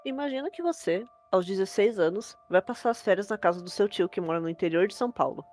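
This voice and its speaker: A woman's voice: medium pitch, animated, fast talker, darkish tone, soft background music. A young Brazilian woman telling a scary story as host, in casual scripted narration. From Brazil, from a capital city.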